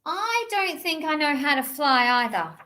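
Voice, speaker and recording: An eager little boy kitten from a picture book, voiced by a woman reader, very high and lilting. He is earnest and friendly, a small hunter who feels his way through what he says. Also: very animated, a little slow, sharp tone, exaggerated voice. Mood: hesitant, unsure.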